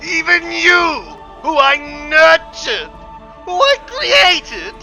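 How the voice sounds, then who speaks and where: A man voicing a tyrannical ancient dark dragon lord with a booming, theatrical menace. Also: wildly swooping pitch, very slow, faint music behind, full character voice. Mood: menacing.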